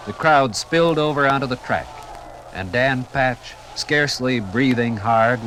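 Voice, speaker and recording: A man's voice: medium pitch, a bit bright, slight background noise, low-quality recording. A man giving theatrical, rhetorical scripted narration as the narrator of a 1950s radio documentary.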